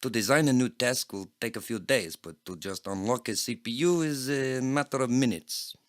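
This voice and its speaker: A man's voice: mid-range voice, normal speed, voice pushed up, close to an everyday voice. A man voicing a surly Eastern European computer programmer in an audio play, blunt and formally worded. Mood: businesslike. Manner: blunt, unfriendly, formal wording. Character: surly Eastern European male programmer and flea-market trader.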